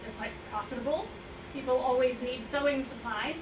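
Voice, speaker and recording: A noisy, far-off recording of a staged play with a woman acting a carer who questions and doubts. Her voice is high, her manner pointed and probing. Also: fairly even intonation, normal speed, close to an everyday voice. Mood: skeptical. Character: probing, skeptical woman caring for an old man. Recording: distant echoey room, noisy draft recording.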